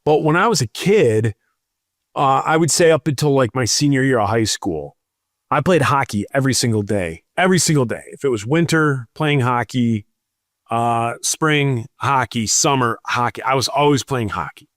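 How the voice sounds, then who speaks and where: A man's voice: medium pitch, animated, moderate pace, fairly bright tone, no echo, studio quality. A man in his thirties, a podcast co-host, telling a rambling story in casual chat with lots of fillers.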